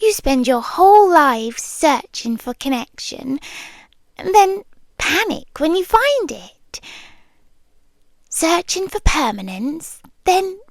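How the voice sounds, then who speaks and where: A British woman playing a possessive, nonhuman yandere girlfriend in an ASMR roleplay, speaking softly and deliberately and often slipping into breathy whispers. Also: sits high, big pitch swings, no echo, exaggerated voice. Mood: intense, devoted.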